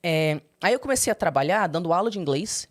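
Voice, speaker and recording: Lower-voiced woman telling her story. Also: fairly quick. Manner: expressive, swings into high animated quoting. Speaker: interview guest. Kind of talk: candid personal storytelling.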